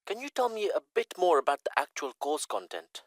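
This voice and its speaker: A man's voice: a little high, big pitch swings, fairly quick, no echo, studio quality. A man acting a polite, careful student making an enquiry in a scripted listening-test dialogue. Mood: polite, eager. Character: courteous eager male Pakistani student enquiring by phone.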